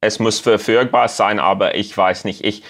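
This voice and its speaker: A man from South Africa with an Afrikaans accent, a guest in an interview, speaking German haltingly with many fillers as he searches for words. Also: on the low side, fairly even intonation, a bit bright. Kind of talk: casual interview.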